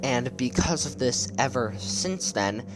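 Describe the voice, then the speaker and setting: A man's voice: medium pitch, animated, unhurried, rough recording. Man narrating over background music. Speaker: video essay narrator. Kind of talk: casual scripted commentary on horror web series.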